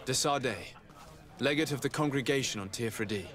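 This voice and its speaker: Casting: male actor as quietly commanding game nobleman, medium pitch, formal, measured, courteous authority. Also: natural voice. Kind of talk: video game cutscene acting.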